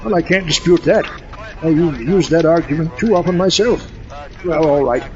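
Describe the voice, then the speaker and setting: A man playing a fussy, excitable mad scientist in a comedic audio drama, wordy and indignant. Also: on the high side, normal speed, close to an everyday voice. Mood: indignant, pompous. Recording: dull lo-fi audio.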